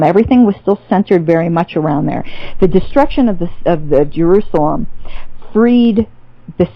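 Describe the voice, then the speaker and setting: A woman's voice: fairly low pitch, no room sound, muffled recording. A woman on a podcast as a guest, talking through theology in a thoughtful way with many restarts and fillers. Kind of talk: casual theological interview.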